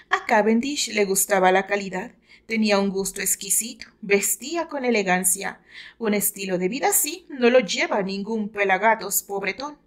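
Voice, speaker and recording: Woman, Mexican accent, slightly high voice, audiobook narration, dramatic fiction, measured, expressive storyteller. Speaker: author narrating her own novel.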